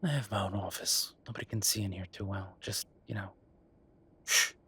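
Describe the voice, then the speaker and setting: A man's voice: on the low side, moderate pace, pushed and bright, breathy edge, full character voice. A man playing an affectionate, teasing werewolf bureaucrat in an intimate romantic audio roleplay, speaking conversationally with murmured asides. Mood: flirtatious, hushed. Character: Viktor, affectionate teasing male werewolf bureaucrat, weary but playful.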